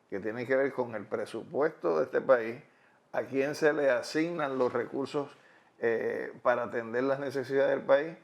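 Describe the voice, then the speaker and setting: A man answering interview questions in long, deliberate, argued sentences. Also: mid-range voice, creaky. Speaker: interviewee on fiscal policy. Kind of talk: measured explanatory interview talk.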